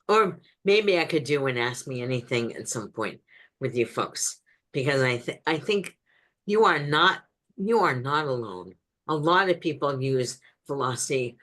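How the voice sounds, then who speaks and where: Low-voiced woman giving a webinar talk. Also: fairly bright tone. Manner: direct, frequent ums and restarts. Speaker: webinar speaker on agile. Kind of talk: presentation answering questions.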